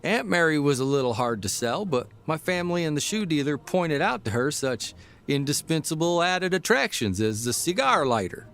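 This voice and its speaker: A man's voice: on the high side, very animated, moderate pace, fairly bright tone. A man playing a folksy newspaper columnist and caring husband in a radio drama, with a wry, homespun, conversational way of talking. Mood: wry, amused. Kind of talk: radio drama, storytelling monologue.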